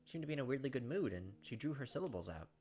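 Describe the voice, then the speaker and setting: A man's voice: mid-range voice, very animated, racing, darkish tone. A man reading a visual novel aloud in a dramatic first-person way, with casual asides as a gaming host. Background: game music.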